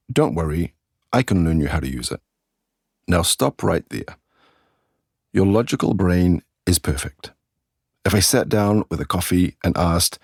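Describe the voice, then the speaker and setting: A man from England with an English accent, presenting a scripted English lesson in a clear, deliberate way and slowing down on key words and example sentences. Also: low voice, no room sound, clean studio sound. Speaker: English teacher.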